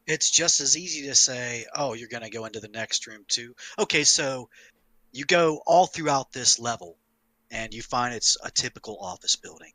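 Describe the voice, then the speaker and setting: A man who is high, in an emphatic, sweary, casual discussion of tabletop gaming as a game master. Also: expressive, normal speed, bright, forceful tone, no room sound, low-quality recording. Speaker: podcast panelist and game master.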